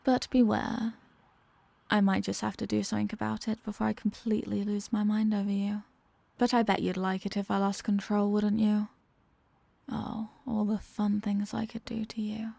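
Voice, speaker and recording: Creaky, seductive villainess acted by a woman, close-miked. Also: medium pitch, warm tone, no echo. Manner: teasing, sultry, often dropping into breathy whispers. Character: seductive, dominant female villain toying with her hostage. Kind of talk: intimate ASMR audio roleplay. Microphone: close microphone in a dry space.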